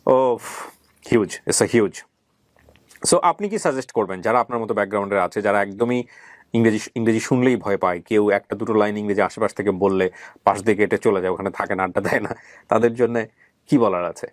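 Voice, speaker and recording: A man's voice: lowish voice, a little fast, no echo, studio quality. A middle-aged Bangladeshi man hosting an interview, speaking emphatically to guests and viewers and mixing in English words. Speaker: interview host. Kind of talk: conversational interviewing and addressing viewers.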